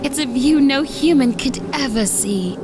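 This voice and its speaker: A woman voicing a gentle, sly, coaxing winged elf girl in a dramatic comic dub. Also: high voice, lively intonation, measured pace, very noisy. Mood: coaxing, soothing. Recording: music behind the voice.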